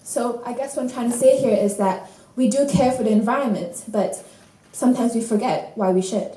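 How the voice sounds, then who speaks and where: A woman's voice: on the high side, a little fast, dark tone. A teenage girl giving a prepared student talk at a conference. She speaks conversationally, with "um"s and dry asides. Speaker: student presenter. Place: conference hall. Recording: distant mic in an echoing hall with faint noise.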